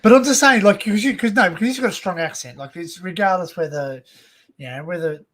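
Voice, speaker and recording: Higher-voiced Australian man, excitable and rambling. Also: fairly quick. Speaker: livestream host. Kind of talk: casual livestream chat.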